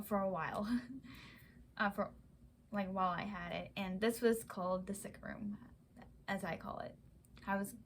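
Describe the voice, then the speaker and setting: Young woman, vlogger, slow unhurried talk, halting with lots of ums, faint background hum. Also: medium pitch. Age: young adult. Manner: halting, many fillers and restarts. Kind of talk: casual personal account.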